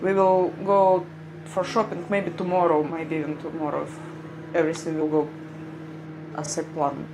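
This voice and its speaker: A woman's voice: low-pitched, flat delivery, moderate pace, long pauses, background noise. A young woman with a Ukrainian accent chatting casually on a livestream, laughing often and speaking in slightly broken English. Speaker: livestream vlogger. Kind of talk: casual livestream chat reading viewer comments. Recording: music playing behind.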